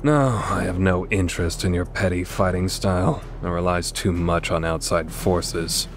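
A man's voice: low-pitched, fairly even intonation, normal speed, faint music behind. A man voicing a proud, disdainful swordsman in a video game fan dub, speaking formally with haughty menace.

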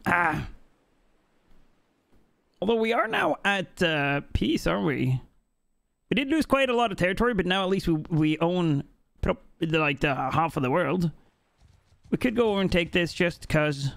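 Chatty Norwegian streamer, upbeat voice. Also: male voice, high voice, sing-song, moderate pace, creaky, long pauses, fairly bright tone, dry recording. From Norway. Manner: chatty, reads chat aloud, jokey. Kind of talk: live-stream gameplay chat. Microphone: headset mic.

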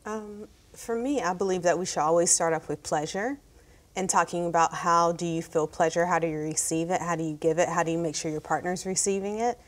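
This is a woman in her forties with a notably low voice. She talks in a conversational way as an expert at a round-table discussion in a TV studio. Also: fairly even intonation, brisk. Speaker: expert panelist. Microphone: lapel mic.

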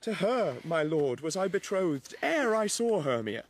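An actor playing an earnest, lovestruck young nobleman, declaiming measured Shakespearean verse in a radio drama. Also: high-pitched, sing-song, full character voice. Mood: earnest, ardent.

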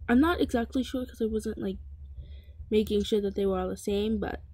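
A woman's voice: mid-range voice, a little fast, warm tone, dry recording. A teenage girl talking casually about packing her lunch in a home kitchen, rambling with lots of fillers and restarts. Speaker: vlogger. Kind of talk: casual voice-over chat.

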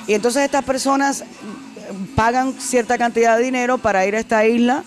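A middle-aged woman from Cuba, a singer answering interview questions in a casual way, animated and repeating phrases for emphasis, into a handheld mic in a restaurant. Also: a little high, brisk. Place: decorated restaurant, indoors. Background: faint music.

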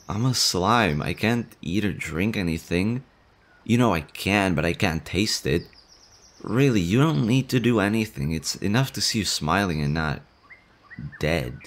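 A man voicing a timid young male slime monster in an audio roleplay, nervous and stammering, quick to worry. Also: deep voice, a little hiss or hum. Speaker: voice actor.

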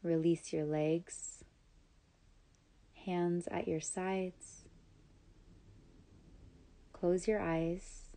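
Slow, mellow woman guiding meditation. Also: on the low side. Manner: calm, soothing, measured instruction with long pauses. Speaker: yoga nidra guide. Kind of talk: scripted guided meditation.

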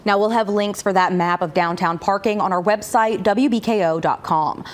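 A woman's voice: mid-range voice, normal speed. A woman in her twenties reporting a news story in a studio with upbeat, emphatic cadence.

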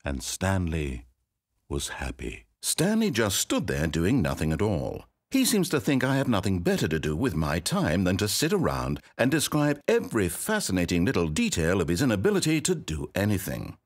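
An actor playing a pompous, needy all-knowing video game storyteller, with a British accent and an articulate, wry, melodramatic delivery. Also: male voice, low voice, wildly swooping pitch, moderate pace, heavily acted. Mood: wry, sardonic. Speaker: game narrator. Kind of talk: theatrical scripted narration.

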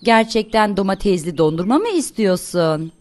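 A woman's voice: medium pitch, very animated, moderate pace, a little mellow. A woman narrating a cartoon for toddlers in a sing-song, encouraging way, often asking questions. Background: light music behind the voice.

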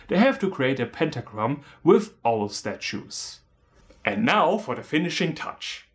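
Sardonic German man with lively pitch. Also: a little slow. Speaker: film reviewer. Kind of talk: scripted humorous film review.

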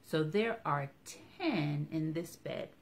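A woman's voice: low voice, lively intonation, slow pace. A woman hosting a storytime for young children, talking to them in simple, instructive phrases. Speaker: children's library storytime host.

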